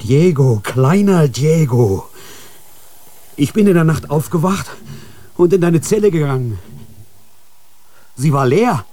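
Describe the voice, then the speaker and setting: Brief: a worried brother monk, dark mellow tone, pleading, radio play. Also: male voice, on the high side, animated, slow, pauses often, dry recording. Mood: alarmed. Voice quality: urgent, pleading. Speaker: voice actor. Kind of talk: radio drama acting.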